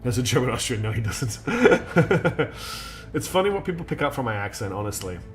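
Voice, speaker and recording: A man's voice: mid-range voice, very animated, normal speed, soft background music. A man streaming a strategy game live. He thinks aloud in a chatty way, with many ums and restarts, and his nose is slightly blocked.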